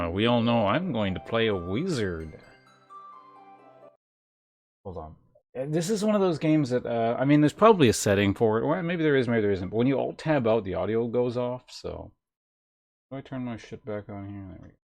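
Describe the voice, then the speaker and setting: A man's voice: mid-range voice, very animated, moderate pace, darkish tone. A man streaming a game, chatting casually in a wry, rambling, conversational way as he comments. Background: game music.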